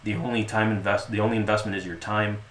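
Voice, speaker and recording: A man with a lowish voice chats easily as an interview guest, joking around and often restarting his sentences. The recording sits in an echoey room with a faint hiss behind him. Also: quick pace. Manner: relaxed, joking, frequent restarts and fillers. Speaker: interview guest, club founder. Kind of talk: casual conversational interview.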